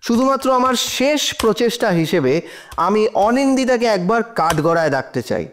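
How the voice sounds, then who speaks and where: A man's voice: very high-pitched, very animated, a little fast. A man playing a persistent, persuasive defence lawyer who questions formally and emphatically in a courtroom audio drama. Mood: insistent.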